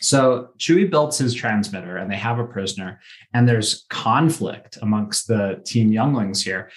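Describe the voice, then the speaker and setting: A man chatting through a casual plot recap as a podcast co-host, telling it in an animated way with acted-out dialogue. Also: fairly low pitch, a little slow.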